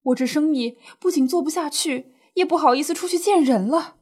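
A woman's voice: high voice, fairly quick, a little mellow, close to an everyday voice. A woman playing a distressed, indignant older snack shop owner in a radio drama, plaintive and full of colloquial exclamations. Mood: aggrieved.

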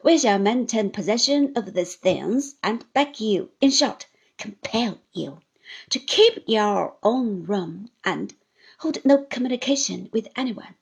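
Calm young lawyer in a storybook reading, firm and measured, put on by a woman narrator. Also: mid-range voice, vocal fry, husky, full character voice.

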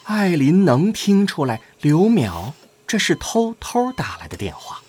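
A man's voice: on the high side, wildly swooping pitch, unhurried, a little mellow, slightly rough. A man narrating an audio drama from a script in a dramatic storytelling style.

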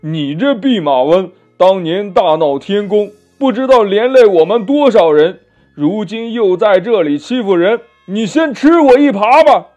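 A man's voice: high-pitched, big pitch swings, slow pace, mellow, heavily acted. A man voicing a boastful but cowardly male pig demon in a storybook tale, stammering and blustering with sneering chuckles. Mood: angry. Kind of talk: children's storybook character voice. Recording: muffled lo-fi audio with music behind.

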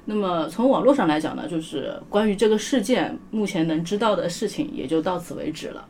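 A woman's voice: lowish voice, expressive, a little fast, a little mellow. A woman speaking as an expert podcast guest, explaining things step by step in a conversational way. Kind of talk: explanatory panel talk. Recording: faint background noise.

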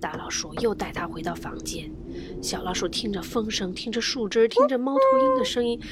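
A woman's voice: medium pitch, sing-song, a little fast. A woman narrating a picture book for children, playful and dramatic, often dropping into a whisper. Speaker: children's storyteller.

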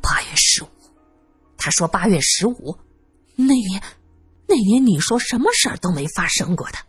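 A woman's voice: mid-range voice, animated, moderate pace, breathy edge, faint music behind. A woman voicing an indignant, easily shaken man who remonstrates in a flustered way in an audiobook. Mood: stunned. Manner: stern remonstrating, flustered repetitions. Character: indignant grown man defending his honor, easily shaken.

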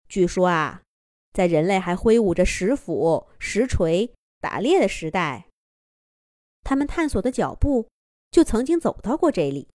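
Woman children's storyteller, gentle and animated, mid-pitched, dry close recording. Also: no room sound. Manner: gentle, expressive storybook delivery. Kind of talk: scripted children's story narration.

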